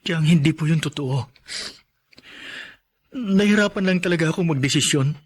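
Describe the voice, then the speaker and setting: A repentant young father in a family melodrama, acted by a man, speaks earnestly and with deference. His voice sits a little high and has a husky edge, always pleading for understanding. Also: moderate pace. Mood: pleading, remorseful. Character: remorseful young husband and new father, respectful, pleading. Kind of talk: melodramatic drama acting.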